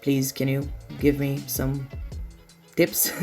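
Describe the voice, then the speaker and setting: A woman with a non-native English accent talks over faint music in a low-pitched voice. She hosts a nail art tutorial in a casual, chatty way, with a self-deprecating and apologetic manner. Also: big pitch swings, very slow, darkish tone. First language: not English.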